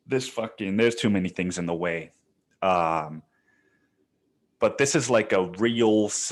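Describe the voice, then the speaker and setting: A man hosting a solo podcast from his bedroom, talking in a casual, rambling, profane and slangy way. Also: lowish voice. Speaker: podcast host. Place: bedroom used as home studio.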